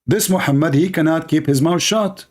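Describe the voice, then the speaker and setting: Emphatic man preaching in a dark voice. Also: a little high, normal speed. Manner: emphatic, declaiming; repeats lines for emphasis. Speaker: online religious debater. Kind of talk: preaching commentary to viewers.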